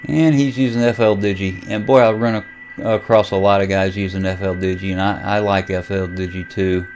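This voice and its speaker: An American man giving a casual, unscripted software walkthrough as a hobby vlogger, halting as he thinks aloud. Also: deep voice, steady tone, slight background noise. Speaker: amateur radio vlogger demonstrating software. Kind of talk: casual unscripted screen tutorial.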